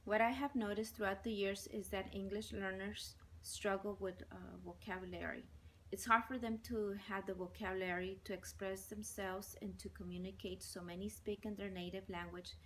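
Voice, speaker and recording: A woman in her forties, Spanish her first language, talks in a measured, deliberate way and lists her points one at a time. Her pitch sits in the middle, and a faint hiss of room ambience stays behind her. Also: fairly even intonation, poor audio. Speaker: bilingual ESL teacher. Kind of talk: interview answers.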